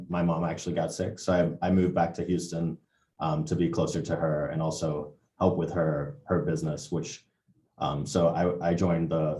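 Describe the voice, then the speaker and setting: A man with a very low, warm voice speaks on a video-call panel. He stumbles and restarts often. The sound is roomy and echoey. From England. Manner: hesitant, many restarts and fillers. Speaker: MBA panelist. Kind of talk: casual panel discussion. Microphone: computer mic on a video call.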